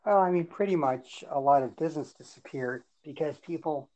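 A man raised with Yiddish and Hebrew, chatting casually in a plain, repetitive, low-key way. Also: fairly quick, warm tone, highs cut off, slight background noise. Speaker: podcast co-host. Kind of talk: casual chat.

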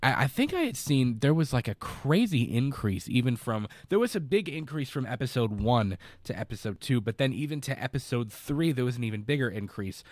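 A man's voice: medium pitch, big pitch swings, brisk, few pauses, darkish tone, no room sound. Congested man hosting a movie podcast. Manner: rambling, repeats words, many fillers. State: congested, stuffed up. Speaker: podcast host. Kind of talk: casual live podcast review. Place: basement.

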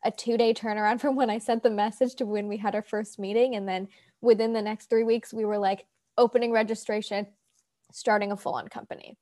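Osiemnastoletnia kobieta o średnio wysokim głosie opowiada swobodnie, z mnóstwem wtrąceń i powtórzeń. Mówi jak założycielka odpowiadająca na pytania w rozmowie. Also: female voice, mid-range voice, a little mellow. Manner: chatty, filler-heavy storytelling. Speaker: founder of a theater company. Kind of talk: casual interview answer.

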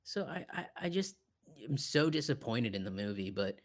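Man, average pitch, podcast co-host, casual film chat, opinionated, conversational. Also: sing-song, normal speed.

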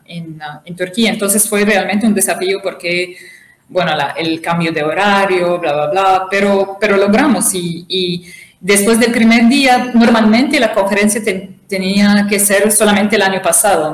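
A woman whose first language is not Spanish answers interview questions in a halting way, with many fillers and restarts. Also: mid-range voice. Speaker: interview guest, conference organizer. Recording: echoey room.

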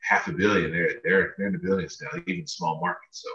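A man's voice: low voice, big pitch swings, a little fast, gravelly, pushed and bright. A middle-aged man talking casually and emphatically about sports as a podcast guest, often repeating words for stress. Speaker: podcast guest, basketball association president. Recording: muffled, roomy, distant mic.

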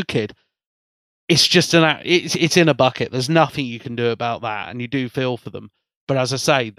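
A man's voice: medium pitch, fairly bright tone, dry recording. A man hosting a podcast in casual chat, rambling and often repeating words as he restarts his sentences. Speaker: podcast host.

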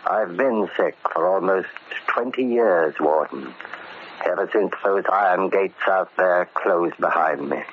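Deep-voiced, grimly resolute convict. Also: male voice. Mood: grimly resolved. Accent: American. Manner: grim, deliberate, stubborn. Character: bitter, resolute 44-year-old convict bent on settling a debt. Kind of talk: old-time radio drama acting. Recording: dull, noisy old radio recording.

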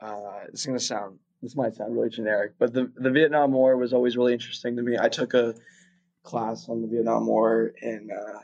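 A man's voice: mid-range voice, steady tone, a little fast, creaky, darkish tone, highs cut off. A young man from Russia, a guest chatting casually in an interview, rambling with lots of fillers and restarts.

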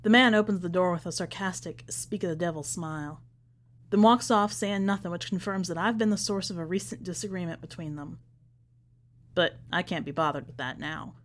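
A woman's voice: fairly low pitch, steady tone, fairly quick. A woman reading a first-person short story as a scripted narration for a podcast.

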